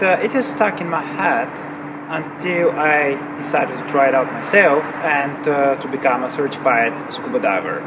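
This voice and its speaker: A man from Bulgaria with a Bulgarian accent, telling a personal story with frequent pauses, fillers and repeated words. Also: a little high. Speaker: recreational diver. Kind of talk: personal story told to camera. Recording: distant mic with clear background noise.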